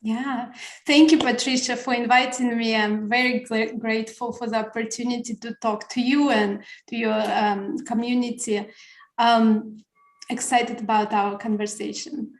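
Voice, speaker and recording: A fairly high-voiced woman from Russia, a native Russian speaker, speaks slowly and reflectively as an interview guest. Her words come with pauses and restarts. The video call picks up a roomy echo around her. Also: steady tone. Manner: thoughtful, halting, restarts words.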